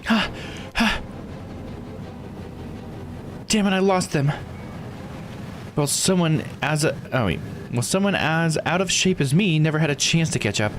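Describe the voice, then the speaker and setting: Man voicing an anxious investigator, raised pitch. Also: expressive, unhurried, long pauses. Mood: startled, urgent. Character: anxious, startled investigator reasoning to themself. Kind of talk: reading visual novel dialogue aloud. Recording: faint background noise.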